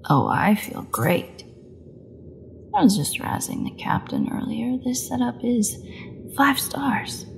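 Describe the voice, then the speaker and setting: Gravelly, sarcastic captive vampire woman, acted by a woman. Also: a little high, sing-song, takes it slowly, slight background noise, heavily acted. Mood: bitter sarcasm. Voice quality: gravelly, creaky voice. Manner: snarky, mocking, bitterly flippant. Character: defiant, sarcastic captive female vampire under interrogation. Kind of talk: dramatic audio roleplay monologue.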